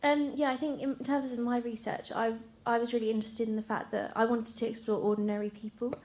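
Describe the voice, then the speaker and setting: A woman's voice: a little high, flat delivery, a little fast, a little mellow. A young woman informally explaining her research as a student panelist. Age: young adult.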